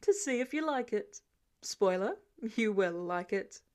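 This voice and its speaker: A woman's voice: medium pitch, expressive, unhurried, a little mellow, close to an everyday voice. Woman voicing wry, confident male mentor. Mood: decisive, persuasive. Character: confident, teasing older male billionaire inventor mentor. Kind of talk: audiobook character dialogue.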